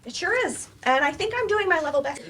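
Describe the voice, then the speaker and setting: A woman's voice: very high-pitched, lively intonation, a little fast, bright, forceful tone, full character voice. A woman acting in a staged play reading as a frank, exasperated therapist, pointed and confrontational with her patient. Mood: firm, frustrated.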